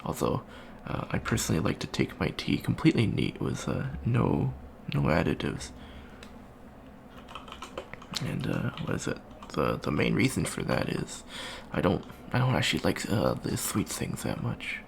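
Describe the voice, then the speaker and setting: A man's voice: low-pitched, very animated, normal speed, gravelly, hardly any pauses, heavily acted. A man playing a shy, friendly young avian alien student in an ASMR roleplay, hesitant, stammering and rambling. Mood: awkward, chatty. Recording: faint background noise.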